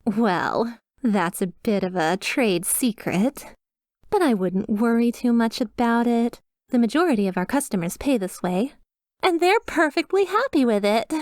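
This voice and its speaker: A woman's voice: fairly high pitch, animated, unhurried, darkish tone, no echo, clean studio sound. A woman playing a cheerful demon receptionist in an ASMR roleplay, with polished, reassuring customer-service politeness and a slyly sinister undertone. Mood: smoothly persuasive. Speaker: hotel receptionist character.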